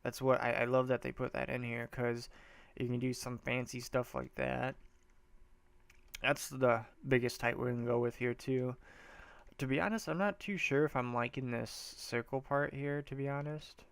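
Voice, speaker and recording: A man's voice: medium pitch, steady tone, normal speed, gravelly, clear, resonant tone, no room sound. A congested man casually talking through a building game, rambling and thinking aloud in a loose, slangy way. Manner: rambling, loose, trails off and restarts often; slangy and laid-back. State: congested, stuffy nose. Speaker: gameplay video host. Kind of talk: casual let's-play commentary, thinking aloud.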